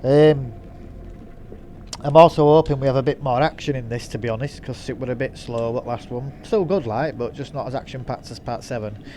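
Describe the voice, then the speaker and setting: A man's voice: medium pitch, a little fast, warm tone, slight background noise. A man, congested with a head cold, chatting casually as he introduces a gameplay video with plenty of ums. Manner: chatty, rambling, frequent ums. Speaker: gaming channel host. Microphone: desk mic he switches off.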